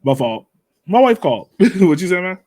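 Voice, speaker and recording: Casual man, higher-pitched voice, dry close sound. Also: big pitch swings, moderate pace, a little mellow, no room sound. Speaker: host of a soccer fan podcast. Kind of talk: casual post-game discussion.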